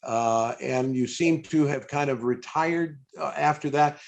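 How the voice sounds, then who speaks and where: A man's voice: medium pitch, unhurried, gravelly. A man conducting a conversational interview over a video call, with hesitant, stammered starts to his questions. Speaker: interviewer, stage impressionist.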